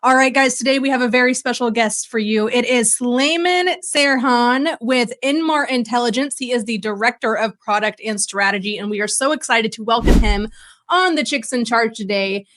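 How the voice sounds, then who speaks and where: A woman's voice: fairly high pitch, moderate pace, fairly bright tone. A woman co-hosting a podcast, introducing the show and its guest in an upbeat, enthusiastic manner. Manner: enthusiastic, friendly. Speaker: podcast co-host.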